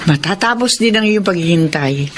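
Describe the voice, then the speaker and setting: A woman's voice: on the low side, animated, normal speed, slight background noise, close to an everyday voice. A woman playing a patient, advice-giving older mother in a Filipino radio drama. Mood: soothing, advising. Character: older mother, patient, advising, eager for grandchildren.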